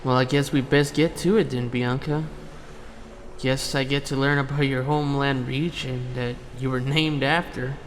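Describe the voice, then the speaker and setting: A man's voice: mid-range voice, steady tone, moderate pace, natural voice. Man acting as hesitant young newcomer, echoing hall. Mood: shyly eager. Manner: hesitant, polite, subdued. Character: unsure, polite young male newcomer to a hive mind. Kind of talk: scripted fan audio drama acting. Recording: reverberant hall, faint noise.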